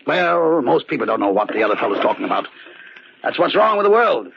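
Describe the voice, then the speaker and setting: An actor playing a wealthy, fussy uncle in an old radio drama, talking imperiously and rambling with hems and haws. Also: male voice, mid-range voice, very animated, brisk, vocal fry. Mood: suspicious, expansive. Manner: imperious, rambling, hemming and hawing. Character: wealthy, fussy, talkative uncle out duck hunting. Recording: noisy, muffled old radio recording.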